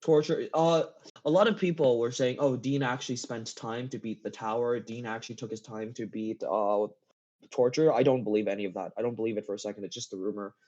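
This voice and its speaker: A man in a casual gaming chat, speaking haltingly as a guest, repeating phrases and often giggly. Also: medium pitch, fairly even intonation, darkish tone. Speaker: interview guest, game map builder. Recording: dull lo-fi voice chat.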